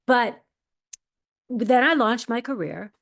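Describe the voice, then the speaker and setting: A woman's voice: fairly high pitch, animated, slow pace, gravelly. A woman in her fifties, an actress, telling her story in a candid, reflective interview. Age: 50s. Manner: candid, reflective, quotes her own past thoughts. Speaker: actress and guest.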